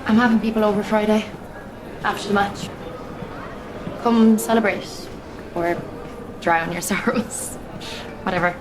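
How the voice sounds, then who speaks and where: A woman's voice: medium pitch, fairly even intonation, a little slow, long pauses, natural voice. An actress as a breezy young woman. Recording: clip played with noisy background.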